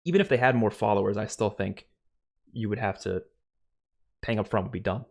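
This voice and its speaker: A young man with a light New York accent, hosting a casual live stream Q&A from a small home room, animated and sweary. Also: on the low side, fairly quick, gravelly. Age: young adult. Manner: animated, swearing, laughs a lot. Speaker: streamer host. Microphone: studio mic on boom, headphones.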